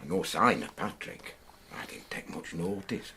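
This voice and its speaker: An actor playing a chatty, blunt Yorkshire pub landlord in a radio drama, talking in colloquial dialect. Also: male voice, sits low, a little slow, pushed and bright, a little hiss or hum. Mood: recollecting.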